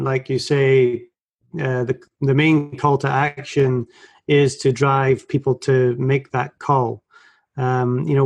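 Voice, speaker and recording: A man hosting a business interview, putting conversational questions to a guest. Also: mid-range voice. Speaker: interview host.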